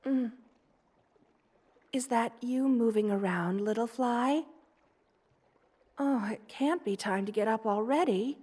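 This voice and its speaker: 여성이 연기하는 높은 목소리로 느릿느릿 말하는 다정한 거미 여왕. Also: female voice, on the high side, darkish tone. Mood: sleepy, affectionate. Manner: tender, soothing, cooing, unhurried. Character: gentle motherly spider queen, doting monster woman. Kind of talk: ASMR roleplay, personal attention.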